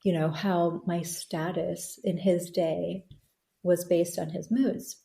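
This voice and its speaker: A woman in her thirties with a low, mellow voice speaks as an interview guest, recounting her own story in an earnest, reflective way. She often restarts her sentences. The room around her rings with noticeable echo. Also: level tone, slow pace, creaky. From born in Bangladesh, raised in Thailand. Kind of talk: candid conversational interview. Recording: echoey room.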